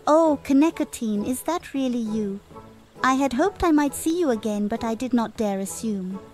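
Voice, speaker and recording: A woman voicing a gentle, dreamy festival mistress in a fantasy game, with flowery, gracious phrasing. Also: on the high side, animated, moderate pace, soft background music, close to an everyday voice. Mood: welcoming, touched. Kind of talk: scripted fantasy game dialogue.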